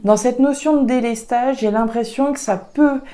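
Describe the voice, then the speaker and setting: A woman's voice: fairly high pitch, moderate pace, warm tone. A woman with a regional French accent doing a casual card reading for viewers, thinking aloud with frequent fillers and restarts. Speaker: tarot and oracle card reader.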